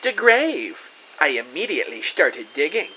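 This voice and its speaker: Man playing an animated, bumbling amateur detective. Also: a little high, moderate pace, slight background noise, rough recording. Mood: wary, curious. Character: earnest, excitable, bumbling amateur detective narrating his case. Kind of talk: scripted first-person audio drama narration.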